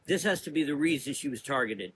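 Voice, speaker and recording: A man's voice: a little high, animated, fast talker, a bit bright, a little hiss or hum. A man voicing a stern, commanding older temple matriarch who speaks formally and with authority. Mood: insistent.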